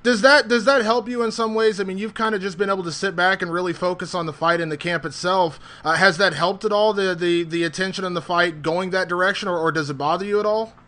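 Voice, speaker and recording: A man's voice: high-pitched, steady tone, quick pace, few pauses, sharp tone. A man working as a reporter, asking wordy, conversational questions at a press call.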